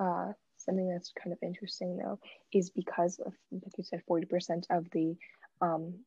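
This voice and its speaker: A woman's voice: fairly low pitch, a little mellow, husky, dry recording, muffled recording. A young woman talking casually in a group discussion among students.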